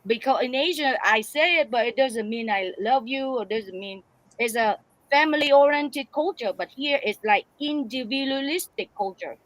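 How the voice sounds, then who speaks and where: Brief: Vietnamese woman, accented broken English, bright slightly high voice, close dry mic, chatty storyteller. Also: moderate pace, dry recording, low-quality recording. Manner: animated, broken English, frequent laughter. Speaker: guest. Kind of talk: casual storytelling chat.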